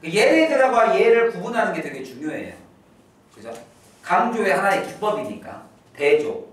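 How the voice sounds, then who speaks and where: A male English teacher giving an exam prep lecture, repeating key phrases slowly and drilling his students. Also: high voice, very animated, very echoey, recorded from a distance.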